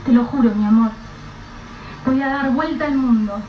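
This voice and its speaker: A woman's voice: high-pitched, normal speed, natural voice. Actress as a desperate Rioplatense wife and mother, emotional and urgent, dull echoey radio-play sound. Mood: tender. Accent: Rioplatense Spanish. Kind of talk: radio drama acting. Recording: echoey, distant, dull, faint hiss.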